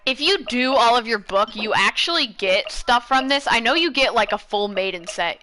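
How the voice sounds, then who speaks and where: A woman's voice: on the high side, expressive, normal speed, bright, forceful tone, slight background noise. A young woman chatting casually as she gives gameplay advice, rambling and thinking aloud. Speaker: gaming content creator. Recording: game music behind.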